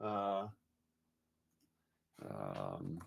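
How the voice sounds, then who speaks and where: A man's voice: very deep voice, big pitch swings, extremely slow, gravelly, slight background noise. A man from Massachusetts hosting a live webinar, chatty and upbeat, with lots of fillers and restarts. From Massachusetts, USA. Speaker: webinar host.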